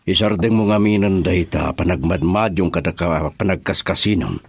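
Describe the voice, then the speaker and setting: An actor playing a weary, worried middle-aged businessman father in a radio drama, with a gravelly, husky voice and a Filipino accent. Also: sits low, normal speed, dry recording. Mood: angry, reproachful.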